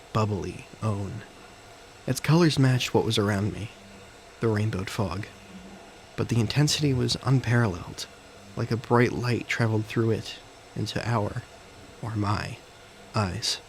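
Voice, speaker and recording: Male narrator, first-person thriller drama, lowish voice, measured dramatic phrases, slight background noise. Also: low-quality recording. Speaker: narrator and character of an audio story. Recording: faint background noise and ambience.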